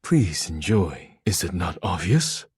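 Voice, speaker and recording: A man voicing a solemn, proud, stern Japanese omakase chef in a video game, speaking in formal, measured phrases. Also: deep voice, very animated, darkish tone, slightly rough, no echo, heavily acted. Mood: formal, explanatory. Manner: formal, measured, clipped phrasing without contractions. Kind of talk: scripted video game character dialogue.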